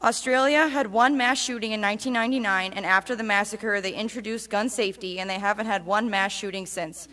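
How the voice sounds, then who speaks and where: A woman, a church member, giving a steady, emphatic public reading of a speech in a church. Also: medium pitch, brisk, hardly any pauses.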